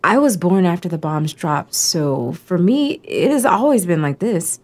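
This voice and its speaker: This lowish female voice swings widely in pitch. A woman plays a blunt, world-weary young resistance fighter in an audio drama, wry and frank in manner. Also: expressive, measured pace, a little mellow. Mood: matter-of-fact.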